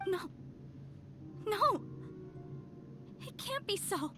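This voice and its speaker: Shaken young believer, very high voice, pleading and defiant. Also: female voice, expressive, drawn-out words, music in the background, full character voice. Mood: distraught. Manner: pleading, disbelieving outbursts. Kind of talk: game voice acting.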